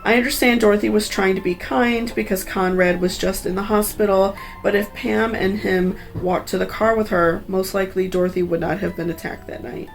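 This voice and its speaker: A woman's voice: mid-range voice, steady tone, fairly quick, creaky, hardly any pauses, faint music behind, low-quality recording. A woman hosting a true crime story, reading scripted narration with personal asides in an earnest, sympathetic, cautionary way.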